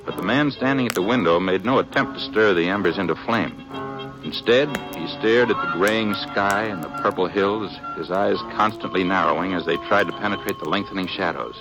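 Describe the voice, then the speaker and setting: An actor as the solemn storyteller of an old radio western, reading scripted narration. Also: male voice, sits low, a little fast, music in the background, rough recording. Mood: grave, suspenseful.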